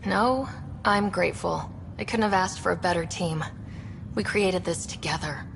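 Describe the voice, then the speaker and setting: A woman's voice: on the low side, moderate pace, creaky, a little hiss or hum. A woman playing an upbeat, hopeful young explorer who leads a space crew in a video game. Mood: sincere, light. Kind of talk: video game cutscene acting. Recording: music behind the voice.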